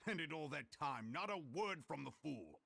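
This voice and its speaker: A male actor playing a proud, gruff middle-aged warrior general in a video game, blunt and stately. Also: a little high, expressive, normal speed, pushed and bright, no echo, exaggerated voice. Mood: grumbling.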